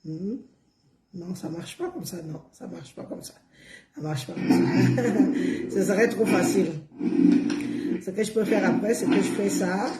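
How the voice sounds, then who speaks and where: A hoarse woman chatting on a live stream, repeating herself and coaxing viewers along with drawn-out words. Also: medium pitch, animated, roomy sound, heavy background noise. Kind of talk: casual live stream chat.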